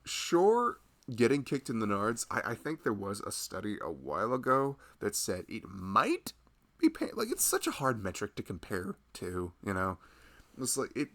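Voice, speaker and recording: A man playing a loving, protective husband in an intimate ASMR roleplay, soft-spoken and chatting in a rambling, casual way. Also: on the low side, wildly swooping pitch, moderate pace, exaggerated voice. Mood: amused, indignant.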